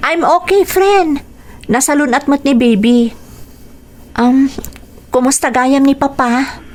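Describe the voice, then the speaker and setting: A woman's voice: high-pitched, slow, darkish tone. A young woman voicing an anxious young mother in a radio drama, speaking with hesitant unease. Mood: uneasy. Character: young mother, anxious about her strict father.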